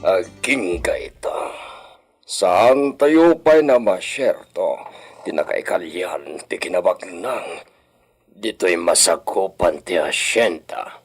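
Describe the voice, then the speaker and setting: A man playing a proud, wealthy older hacienda master in a radio drama, husky and theatrical, sprinkling in Spanish words. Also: sits low, lively intonation, slow pace, faint music behind, exaggerated voice. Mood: scheming, determined.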